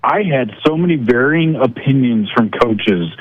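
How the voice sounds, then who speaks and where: A man's voice: medium pitch, animated, normal speed, no echo, narrow, tinny audio. An American man giving casual sports analysis as a guest broadcaster on a radio show.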